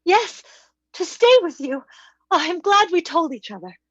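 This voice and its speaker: A woman playing a high-voiced, earnest young heroine. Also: big pitch swings, normal speed, a bit bright, no room sound, lo-fi sound, full character voice. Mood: relieved, earnest. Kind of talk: old-time radio drama acting.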